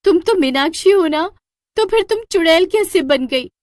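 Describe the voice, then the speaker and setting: A woman's voice: very high voice, animated, moderate pace, dry recording, studio quality, pitched above the performer's own voice. A woman playing a worried, dutiful air hostess in a cartoon story, speaking in an earnest, urgent and pleading way. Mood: shocked.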